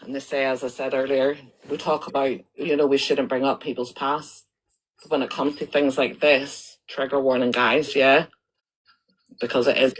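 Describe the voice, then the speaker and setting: A woman's voice: a bass voice, flat delivery, normal speed, rough recording. A woman with a Northern Irish accent, talking to viewers on a live stream in an indignant, accusatory way and repeating herself as she builds her point. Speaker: livestreamer.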